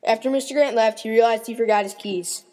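A woman's voice: a little high, fairly quick, big-room sound, background noise. A woman reading scripted narration in the style of an old-time radio mystery.

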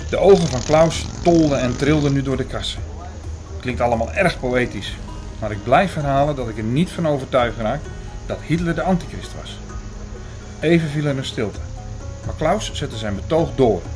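A man's voice: mid-range voice, lively intonation, moderate pace, lightly acted. Man acting skeptical storyteller Hugo, music behind. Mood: skeptical, reflective. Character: Hugo, skeptical male narrator and listening companion. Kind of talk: radio play narration and dialogue.

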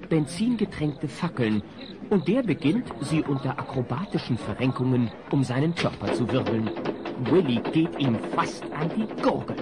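A man's voice: medium pitch, lively intonation, normal speed, very noisy. A man narrating a comedy audio drama in a scripted, wry storytelling manner.

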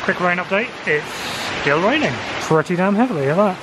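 A man's voice: sits high, expressive, brisk, very noisy. A man in his thirties, vlogging casually into a handheld camera with dry, understated humour. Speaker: travel vlogger. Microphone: handheld camera at arm's length. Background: loud music.

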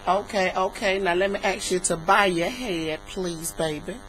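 A woman's voice: on the low side, normal speed, a bit bright, close to an everyday voice. A folksy old church lady, acted out by a woman for a prank call, doting and nosy, full of sugary endearments and pious talk. She is caught on a webcam with some hum and hiss behind her. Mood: sweetly pious. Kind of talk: improvised prank phone call. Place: indoor room at home. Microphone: webcam microphone. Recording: noisy, humming webcam recording.

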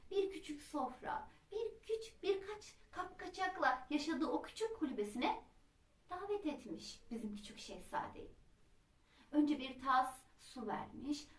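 A woman telling a children's folk tale in an expressive, dramatic traditional storytelling manner. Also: high voice. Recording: roomy echo, distant microphone.

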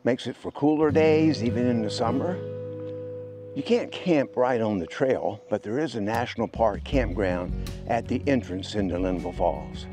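A man's voice: medium pitch, animated, normal speed. A man in his sixties narrating a casual outdoor travel vlog in a plainspoken, folksy way. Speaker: travel vlogger and hiker. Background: music.

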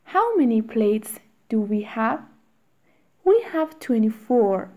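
Very slow, higher-voiced woman reading drills. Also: sing-song, narrow, tinny audio. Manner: reads each short phrase slowly with long pauses. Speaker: English teacher. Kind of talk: slow read-aloud drill for learners.

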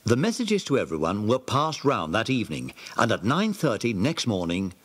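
A male narrator telling a children's story for an audio drama. His voice is husky, and his pitch swings very widely as he narrates with animation. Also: wildly swooping pitch, moderate pace, fairly bright tone.

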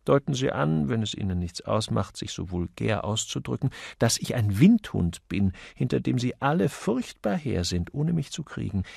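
Man reading as suave gentleman sleuth, urbane, wry, playful, creaky voice, dry audiobook sound. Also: medium pitch, big pitch swings, normal speed, few pauses, no echo, exaggerated voice. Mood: playful, instructing. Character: witty, suave gentleman amateur detective. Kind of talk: audiobook character dialogue.

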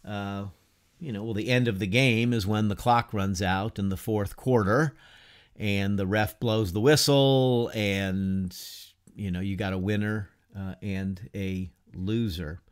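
Middle-aged guy teaching a church class from his study, slow and chatty, lots of "you know" and "right?". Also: fairly low pitch, steady tone, clear, resonant tone. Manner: unhurried and conversational, many fillers and rhetorical questions. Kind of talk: informal lecture to an online class. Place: home study lined with bookshelves, whiteboard beside him.

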